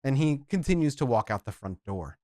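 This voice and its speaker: A man narrating a tabletop game as its game master. He describes each scene steadily and in detail, at a middling pitch for a man. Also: big pitch swings, fairly quick, a little mellow, no room sound, clean studio sound.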